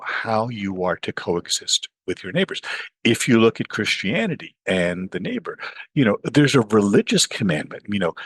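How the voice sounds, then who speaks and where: A middle-aged man with a low, husky voice, talking in an interview. His pitch swings widely as he argues, and he acts out the voices of others. The sound is dry and close. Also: dry recording. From Pakistan. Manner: animated, acts out quoted voices, frequent "you know". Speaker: author and professor. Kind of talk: interview conversation.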